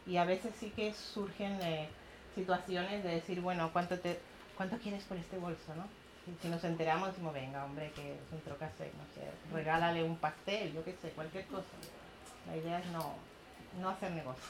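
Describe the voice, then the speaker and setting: A middle-aged Chilean woman with a somewhat low voice recounts her neighborhood projects, laughing easily and acting out what others said. The room is echoey, with a faint hum of background noise behind her. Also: fairly even intonation, normal speed. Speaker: community project organizer. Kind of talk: public talk with audience questions.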